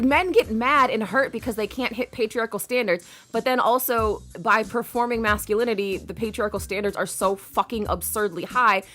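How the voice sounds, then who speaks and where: A woman's voice: fairly high pitch, fairly bright tone. A woman co-hosting a podcast, chatting casually and thinking aloud with lots of fillers. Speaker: podcast co-host. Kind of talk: casual chat.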